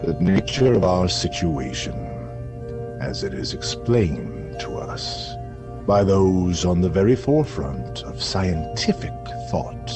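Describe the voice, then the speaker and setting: An actor playing an eerie, grandiose radio science reporter, delivering a portentous monologue with dramatic pauses over music. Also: male voice, sits low, full character voice. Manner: portentous, dramatic pauses, swinging from hush to proclamation. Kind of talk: theatrical scripted radio monologue. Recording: music behind.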